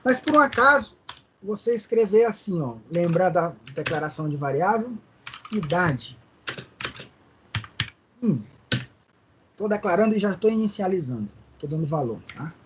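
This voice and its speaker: A man's voice: sits high, expressive, measured pace, pauses often, a bit bright, a little hiss or hum. A male teacher with a Maranhão accent, explaining code step by step in a live online class and often checking in with his students. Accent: Brazilian Portuguese, Maranhão. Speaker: programming teacher.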